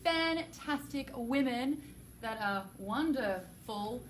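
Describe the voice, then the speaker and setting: A bright, bubbly Australian woman interviews guests for a live stream, her voice sitting a little high. She tosses in playful puns. Behind her there is steady chatter and noise from a busy venue. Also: slow pace. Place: large public building in New York.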